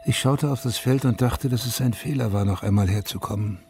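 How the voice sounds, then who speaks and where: An actor playing a haunted middle-aged veteran and father, narrating his story in a radio drama in a subdued, measured, reflective way with a husky voice. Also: lowish voice, a little mellow, a little hiss or hum. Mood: somber, reflective. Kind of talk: literary first-person radio drama narration.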